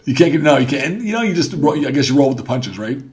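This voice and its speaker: A man's voice: medium pitch, sing-song, quick pace. A man hosting a podcast interview, asking rambling questions with restarts and chuckles. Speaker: podcast host. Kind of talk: casual interview chat.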